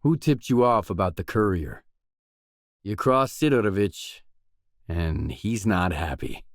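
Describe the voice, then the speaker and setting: A determined male survivor in a video game, deep and slow, matter of fact, guarded, dry studio sound. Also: expressive, no echo. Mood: firm, threatening. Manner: terse, probing, matter-of-fact. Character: guarded, determined male stalker mercenary in post-apocalyptic zone. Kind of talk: isolated video game dialogue lines.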